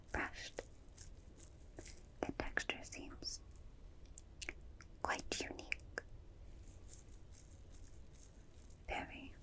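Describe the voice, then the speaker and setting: A woman playing a gentle, curious alien in an ASMR roleplay, speaking in soft breathy whispers with soothing, clinical, repetitive phrasing close to the microphone. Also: poor audio. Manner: slow, soothing, repetitive, clipped detached phrasing. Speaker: ASMR performer. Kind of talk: ASMR roleplay, personal attention. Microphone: close binaural microphone.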